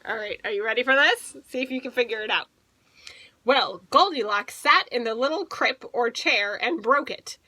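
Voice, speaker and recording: A woman teacher reading a picture book aloud to children, playfully expressive, stretching words for emphasis and adding asides. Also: fairly high pitch, normal speed, no echo, rough recording.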